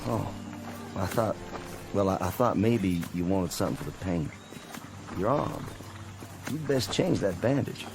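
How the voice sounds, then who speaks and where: A man's voice: deep voice, animated, measured pace, long pauses. An actor playing a weary, kindly older Confederate sergeant, in folksy, fatherly radio drama style. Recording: music behind the voice.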